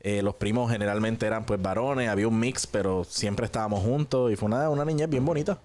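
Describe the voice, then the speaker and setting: Puerto Rican male guest, lowish voice, unhurried conversational testimony with hesitant pauses. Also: darkish tone. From Puerto Rico. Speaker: guest giving a personal testimony. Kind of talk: relaxed conversational storytelling.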